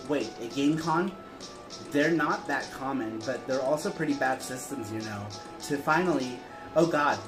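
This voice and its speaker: A man's voice: mid-range voice, reverberant, rough recording. A man co-hosting a show, chatting casually, laughing often and reading long viewer letters aloud. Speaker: co-host reading viewer answers.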